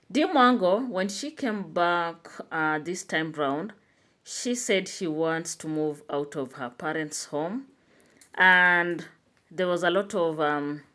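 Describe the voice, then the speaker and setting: A woman's voice: fairly low pitch, fairly bright tone. A woman, a YouTube vlogger giving chatty commentary to her viewers, full of "you know" fillers. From Kenya.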